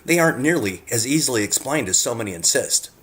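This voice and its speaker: A man's voice: mid-range voice, expressive, fast talker, a bit bright. A man reading scripted narration in an earnest way, speaking directly to the viewer as he presents his work. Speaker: narrator presenting own photographs.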